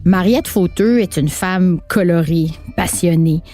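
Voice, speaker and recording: A woman reading scripted documentary narration in a measured tone. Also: on the low side, dark tone, faint music behind. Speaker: podcast narrator.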